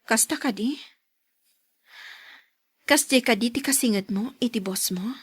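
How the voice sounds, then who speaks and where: A woman playing a suspicious, hurt young wife in an Ilocano radio drama. Her voice sits fairly high with a breathy, husky grain, recorded close and dry. She speaks with an Ilocano accent. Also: measured pace, no room sound. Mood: probing. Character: suspicious, hurt young wife, quietly probing then tearful.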